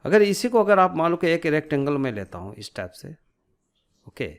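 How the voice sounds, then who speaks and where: Man, slightly high voice, informal tutorial narration, explanatory, question-and-answer teaching, English technical terms mixed in. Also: big pitch swings, moderate pace. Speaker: tutorial instructor.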